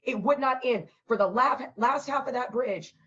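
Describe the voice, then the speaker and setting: A hoarse woman who has lost her voice, hosting a vlog and ranting bluntly with a lot of profanity. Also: on the high side, normal speed, fairly bright tone, highs cut off. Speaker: host.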